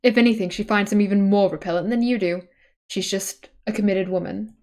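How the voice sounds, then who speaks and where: A woman's voice: mid-range voice, fairly quick, a little mellow. A woman streamer reading a webcomic aloud, mixing dramatic narration with casual, chatty asides.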